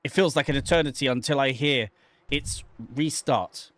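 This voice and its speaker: A man voicing a haunted, guilt-ridden man lost in dark woods, reading horror game narration in a theatrical, halting way. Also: medium pitch, no echo, natural voice. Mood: uneasy, fearful. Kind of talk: dramatic first-person reading of horror visual novel text.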